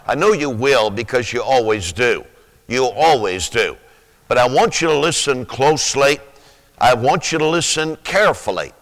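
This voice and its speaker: A man in his sixties with a rough, sleepy-sounding voice, preaching a sermon slowly, with many pauses, then rising with fervent emphasis. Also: mid-range voice, fairly bright tone. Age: 60s. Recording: reverberant hall.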